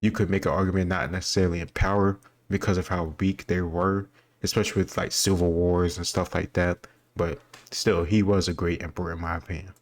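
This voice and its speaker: Man, deep pitch, little melodic variation, casual commentary, rambling explanatory asides. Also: flat delivery, a little fast. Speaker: host answering quiz questions.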